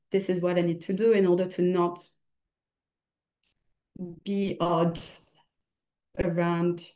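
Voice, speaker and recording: A woman's voice: fairly low pitch, a little mellow. Chatty tutor woman, slight Belgian French accent. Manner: chatty, self-correcting, uses personal examples. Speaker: exam tutor. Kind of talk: recorded study lecture.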